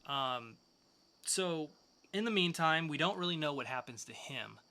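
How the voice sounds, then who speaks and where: A man's voice: fairly high pitch, animated, a little slow, sharp tone. A man hosting a podcast, casually reviewing comic books with enthusiastic, loose, slangy asides. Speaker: podcast host.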